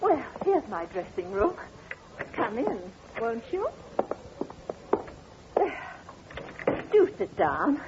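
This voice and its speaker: A woman's voice: fairly high pitch, very animated, takes it slowly, long pauses. An actress playing a young theatre singer, girlish, coy and theatrical, in 1940s radio drama style. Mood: coy, gracious. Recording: dull, noisy old radio recording.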